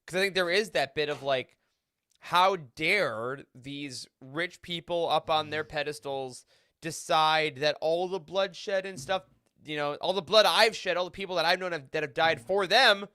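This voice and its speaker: A man's voice: fairly high pitch, measured pace, sharp tone, clear, resonant tone, no echo, clean studio sound. A man discussing a story casually on a podcast roundtable, with an emphatic, informal way of talking. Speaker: podcast panelist.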